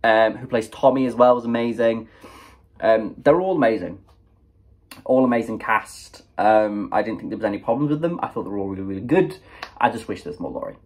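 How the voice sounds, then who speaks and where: A young man talks through a film review with a sniffly, stuffed-up voice from a cold. His tone is husky and slightly breathy. He rambles in a loose, chatty way, packing in fillers and little acted-out reactions. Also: fairly low pitch, a little fast. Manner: chatty, animated, many fillers and quoted reactions. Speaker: movie reviewer. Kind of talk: casual spoken film review.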